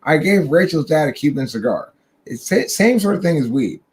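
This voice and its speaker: A man's voice: on the high side, lively intonation, moderate pace. A young man hosting a livestream chat, telling a rambling, profane story and laughing along the way. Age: young adult. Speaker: podcast host.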